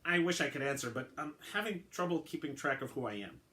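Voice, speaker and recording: A supervillain's clone, eager and flustered, tripping over his own identity, read by a male narrator. Excitable and confused. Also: fairly high pitch, fast talker.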